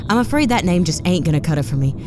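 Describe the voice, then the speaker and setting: An actress playing a blunt, sarcastic, tough-talking space commander in a sci-fi comedy audio drama. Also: female voice, lowish voice, lively intonation, very fast, noisy background, lightly acted. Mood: exasperated, bossy. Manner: blunt, wry, informal, profane. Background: music under the voice.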